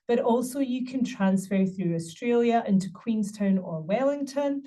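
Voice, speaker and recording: A woman's voice: mid-range voice, dark tone, far from the mic. A middle-aged woman giving an upbeat university webinar presentation, promoting her city with frequent ums.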